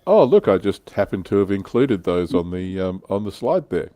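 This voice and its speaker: A man's voice: low-pitched, big pitch swings, normal speed, warm tone. A man hosting a casual hobby panel chat. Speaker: livestream host presenting slides.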